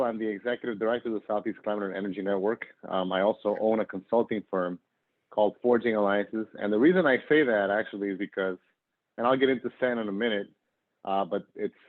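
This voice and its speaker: A man from northern Argentina talking casually as an interview guest, hesitant and digressive. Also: lowish voice. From northern Argentina, moved to the US at ten. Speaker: interview guest, nonprofit executive director. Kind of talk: casual conversational self-introduction. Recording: phone-like, narrow radio-style call audio.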